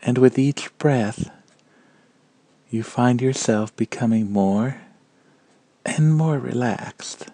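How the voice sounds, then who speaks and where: A man guiding a meditation in a calm, measured and gentle manner, leaving long pauses between phrases. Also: medium pitch, slightly rough, dry recording. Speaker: meditation guide. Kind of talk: guided meditation narration.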